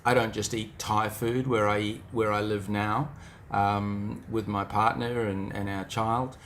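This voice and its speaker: A man's voice: fairly low pitch, little pitch movement, a little slow, fairly bright tone, slight background noise. A middle-aged man with a New Zealand accent giving an informal motivational talk, reflective and speaking straight to the listener.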